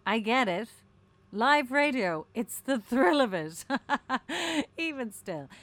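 Breezy, patronising radio producer, swooping delivery. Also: female voice, on the high side, very animated, slow, fairly bright tone, no room sound, heavily acted. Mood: breezy, condescending. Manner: sugary, condescending, theatrically chatty. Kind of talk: darkly comic audio drama acting.

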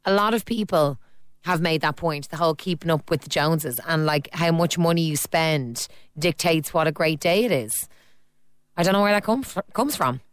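A woman's voice: deep voice, normal speed, no room sound. A woman with an Irish accent hosting a phone-in radio show, chatting and teasing callers and reading out listener texts. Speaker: radio host. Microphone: studio mic.

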